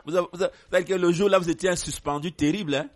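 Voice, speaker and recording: A man's voice: high-pitched, fairly even intonation, rapid-fire, no echo. A male radio host running a call-in show in a friendly, joking way.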